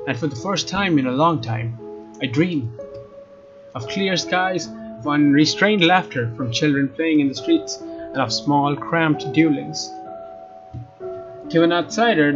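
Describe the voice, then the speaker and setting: A man with a fairly high voice reads a visual novel aloud as a let's player. The sound is slightly dull, and guitar game music plays clearly behind him. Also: measured pace, pauses often. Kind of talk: reading visual novel narration aloud, expressive.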